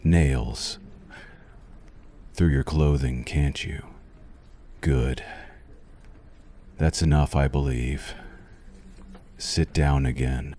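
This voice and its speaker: Male actor as vampire doctor for ASMR: extremely low, mellow voice, formal, possessive, softly menacing. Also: fairly even intonation, extremely slow, long pauses, slight background noise, full character voice. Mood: clinical, teasing. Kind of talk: ASMR roleplay, scripted monologue to the listener.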